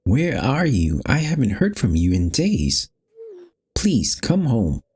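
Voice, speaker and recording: A man's voice: mid-range voice, huge pitch swings, slow, a little mellow, breathy edge, voice pushed up, exaggerated voice. A man voicing a worried mother in a visual novel, pleading and tender. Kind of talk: visual novel character reading.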